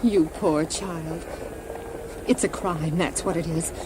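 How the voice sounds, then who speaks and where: A woman's voice: fairly low pitch, fairly even intonation, slow pace. An actress playing a protective, aggrieved Israelite mother in an audio Bible drama for children, speaking plaintively. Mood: bitter, pitying. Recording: noisy outdoor crowd ambience behind.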